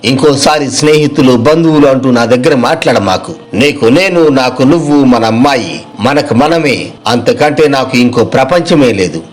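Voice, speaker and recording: A man's voice: medium pitch, normal speed, lightly acted. Struggling shopkeeper husband in a moral tale, voiced by a man: tired, proud, firm and direct. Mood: irritated, resolute. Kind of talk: moral story voice acting.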